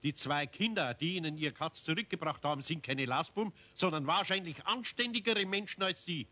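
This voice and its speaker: A man's voice: on the high side, brisk, sharp tone. An actor playing a kindly, upright, middle-aged Bavarian master craftsman, plain-spoken, in a 1970s children's radio play. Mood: indignant.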